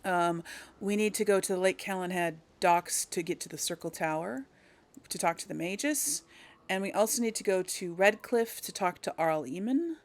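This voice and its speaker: A woman's voice: medium pitch, moderate pace. Chatty woman narrating her video game playthrough. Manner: chatty, thinking aloud, talks directly to viewers. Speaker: host of a video game role-play series. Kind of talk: casual let's play commentary.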